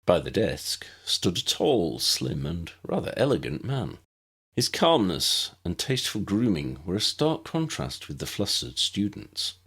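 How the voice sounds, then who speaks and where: Low, gravelly, very expressive male storyteller. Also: unhurried. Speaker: author narrating own fan fiction audiobook. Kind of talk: scripted story narration.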